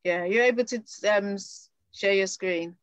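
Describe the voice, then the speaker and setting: A woman's voice: medium pitch, measured pace, smooth, no echo. A woman hosting a radio show over a video call, giving brief encouraging replies to her guest.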